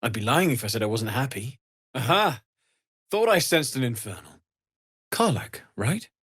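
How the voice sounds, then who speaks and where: An actor playing a kindly, earnest young blacksmith in a fantasy video game, with a husky, breathy voice and an understated manner. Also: male voice, mid-range voice, big pitch swings, moderate pace, a bit bright. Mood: friendly. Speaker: blacksmith and trader. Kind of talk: video game character dialogue.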